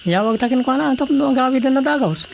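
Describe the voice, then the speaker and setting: A man's voice: very high-pitched, fast, gravelly, slight background noise. A man playing a friendly, eager village brother-in-law in an Ilocano radio comedy drama, with an Ilocano accent.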